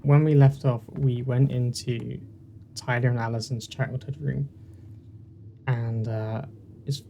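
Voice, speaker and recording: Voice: mid-range voice, steady tone, slow pace, vocal fry, dark tone, a little hiss or hum. A video game host chatting casually through a playthrough in a rambling, self-deprecating way, on a poor-quality home mic. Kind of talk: casual commentary while playing a game.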